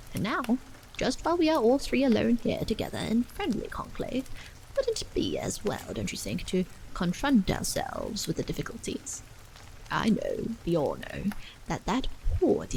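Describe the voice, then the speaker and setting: A woman plays an elderly clergyman in a bedtime storybook, cordial and earnest. The pitch swings widely and crackles with creak as he argues patiently in grand, formal phrases. Soft rain runs behind the voice. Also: heavily acted. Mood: grave, persuasive. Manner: emphatic, rhetorical, formal old-fashioned phrasing. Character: seventy-one-year-old clergyman, earnest, cordial, patiently persuasive. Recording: steady rain sounds behind the voice.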